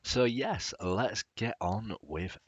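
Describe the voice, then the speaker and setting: A man's voice: lowish voice, lively intonation, slow, breathy edge, no room sound, highs cut off. A man hosting a podcast, talking in a soothing, casual and rambling way. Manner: chatty, rambling, with fillers. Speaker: podcast host.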